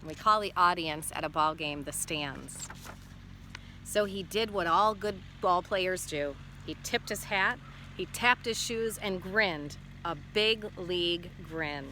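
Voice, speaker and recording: Bright-voiced woman teacher reading aloud. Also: lowish voice, slow pace, a little hiss or hum. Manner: instructive, checking in with questions. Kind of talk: expressive children's read-aloud with explanatory asides.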